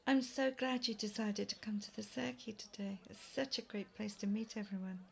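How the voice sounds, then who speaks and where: Friendly young guide from another world , woman actor, chatty and welcoming, medium pitch, audio roleplay with background noise. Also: steady tone, moderate pace, creaky, lightly acted. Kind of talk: roleplay audio drama addressed to the listener.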